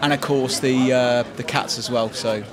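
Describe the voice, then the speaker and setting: A man's voice: mid-range voice, steady tone, normal speed. A man in his forties giving a casual interview on an outdoor deck into a handheld microphone. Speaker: club sailor being interviewed. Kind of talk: casual chat. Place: outdoor covered deck at a sailing club. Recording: busy outdoor crowd chatter.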